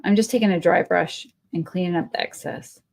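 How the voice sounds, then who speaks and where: A woman's voice: lowish voice, brisk, creaky, a little mellow, slight background noise. Chatty woman with a Texan twang. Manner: chatty and rambling, talks to viewers while working; friendly, answers viewer comments. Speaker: craft livestream host. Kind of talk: casual live crafting chat.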